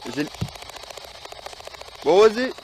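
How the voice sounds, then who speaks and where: A man on a ghost hunt, speaking in slang and shouting questions to spirits on a road at night. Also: high-pitched, sing-song, slow, rough recording. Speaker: fellow investigator. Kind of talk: casual chat on a ghost hunt. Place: outdoors on a wooded road at night. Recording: night outdoor noise, voice off-mic.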